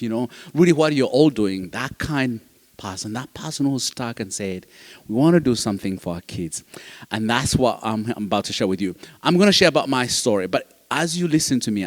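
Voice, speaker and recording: A man from Uganda with a Ugandan accent, a non-native English speaker, giving an emphatic personal motivational talk to an audience. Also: mid-range voice. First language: not English, which is a fourth language. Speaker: guest speaker, foster care advocate. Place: large reverberant hall. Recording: long hall reverb.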